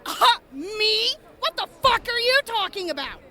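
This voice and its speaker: A woman's voice: very high voice, big pitch swings, unhurried, bright, forceful tone, heavily acted. A woman playing a fiery, blunt young female traveler in a post-apocalyptic audio drama. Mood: furious. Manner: blunt, combative, teasing.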